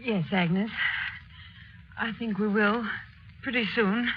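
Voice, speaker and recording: A woman playing an exhausted, gently reassuring mother in a 1930s radio drama, speaking in a subdued, soothing way. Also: mid-range voice, steady tone, takes it slowly, natural voice. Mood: weary reassurance. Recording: noisy, muffled old radio broadcast.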